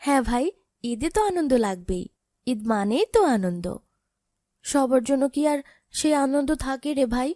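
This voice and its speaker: A woman's voice: sits high, expressive, moderate pace, long pauses, warm tone, no room sound, clean studio sound. A woman playing a poor, caring orphaned older sister in a cartoon, plaintive and tender. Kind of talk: cartoon drama voice acting.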